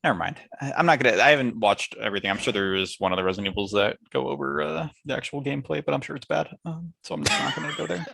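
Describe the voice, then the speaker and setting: A man talking casually as a podcast panelist, in a dry, halting, self-correcting way. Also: medium pitch, expressive, gravelly, bright, forceful tone, no room sound. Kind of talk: casual chat.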